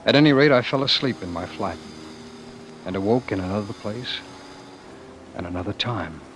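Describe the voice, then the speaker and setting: An actor playing a weary, defiant former world ruler in love, with halting, theatrical delivery in the style of old radio drama. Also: male voice, on the low side, expressive, a bit bright. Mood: haunted, reflective. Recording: noisy vintage radio broadcast.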